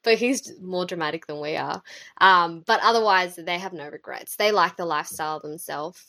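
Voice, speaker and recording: A young woman from Sri Lanka, co-hosting a casual podcast and talking in a chatty, lively way with frequent ums and laughs. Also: lowish voice, normal speed, gravelly, dry recording. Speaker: podcast co-host.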